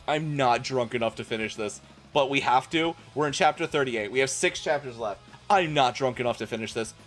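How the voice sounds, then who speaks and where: Guy streamer reading a story out loud, medium pitch, exasperated, music in the background. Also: fairly quick. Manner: exasperated, incredulous. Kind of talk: comic dramatic reading with asides. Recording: music playing behind the voice.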